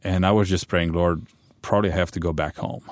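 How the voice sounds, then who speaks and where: A middle-aged man from Romania with a Romanian accent, a pastor telling his story loudly and with animation in a radio interview. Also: low-pitched, fairly even intonation, fairly quick, no room sound, clean studio sound. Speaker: pastor, guest.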